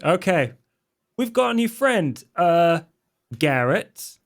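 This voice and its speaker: Slow-talking man with a creaky voice. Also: high voice, sing-song. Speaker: tabletop game player. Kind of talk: casual in-character roleplay.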